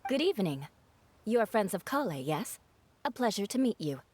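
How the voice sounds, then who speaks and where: A woman's voice: mid-range voice, sing-song, moderate pace, a little mellow, a little hiss or hum, close to an everyday voice. A woman voicing a poised, formal young captain in a video game. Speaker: company captain.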